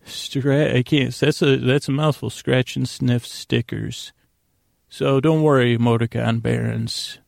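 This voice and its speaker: A man hosting a bedtime podcast, talking in a meandering, halting, whimsical monologue that often interrupts itself. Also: medium pitch, steady tone, vocal fry, a little mellow, no room sound, clean studio sound. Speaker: podcast host.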